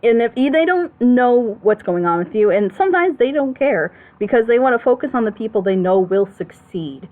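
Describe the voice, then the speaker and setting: A woman's voice: on the high side, expressive, moderate pace, hardly any pauses, phone-quality sound. A woman commentating in a casual, opinionated chat, emphatically repeating her points. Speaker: reaction show commentator.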